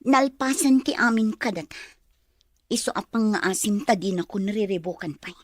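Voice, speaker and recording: An actress playing a bitter, unforgiving wronged mother in an Ilocano radio drama, with a husky, breathy voice. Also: medium pitch, moderate pace, no room sound, clean studio sound, close to an everyday voice. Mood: bitter, accusing.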